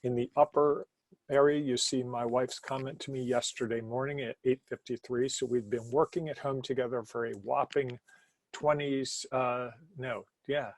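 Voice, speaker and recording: A man presenting a webinar from his home basement office, keeping his naturally loud voice quiet and laid back. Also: mid-range voice, fairly even intonation, normal speed, low-quality recording. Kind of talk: casual webinar talk.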